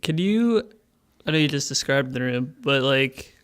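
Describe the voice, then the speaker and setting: A man casually recapping a tabletop game as a player, speaking haltingly and jokily, often chuckling as he talks. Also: mid-range voice, animated, fairly bright tone, smooth. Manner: halting, jokey, chuckles while talking. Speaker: player in a tabletop role-playing podcast.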